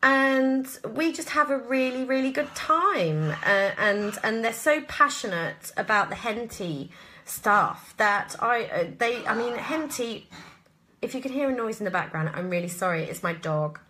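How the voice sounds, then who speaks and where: A woman's voice: fairly high pitch, animated, unhurried, bright, forceful tone, a little hiss or hum. A British woman chatting casually in a live Q&A at home, rambling and self-deprecating as she goes. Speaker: actress and voice actor answering viewer questions. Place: at home, indoors.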